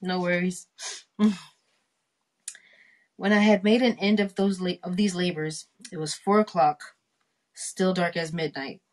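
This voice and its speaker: A woman reading a classic short story aloud, haltingly and carefully, sounding out the hard words as she goes. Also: on the low side, fairly even intonation. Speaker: reader of a storytime podcast.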